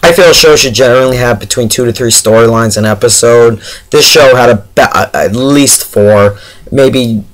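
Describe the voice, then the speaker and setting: A man with a hoarse, tired voice giving a casual, chatty, somewhat halting TV episode review straight to his viewers. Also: medium pitch, poor audio. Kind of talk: casual spoken review to camera.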